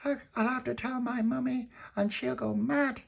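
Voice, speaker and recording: A man doing a squeaky, tearful little girl. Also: fairly even intonation, normal speed, gravelly, exaggerated voice. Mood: worried. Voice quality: high squeaky childlike voice.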